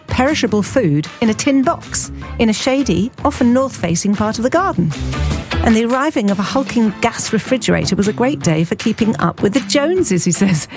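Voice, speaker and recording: A woman presenting a hospital radio show, chatting casually between tracks with ums and chuckles, her voice a bit croaky. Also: medium pitch. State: slightly croaky.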